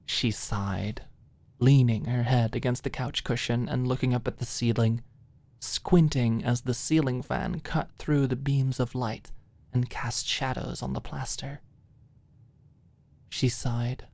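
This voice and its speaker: Woman audiobook narrator, very deep pitch, unhurried, scripted ASMR storytelling, dry recording. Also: steady tone, darkish tone, dry recording.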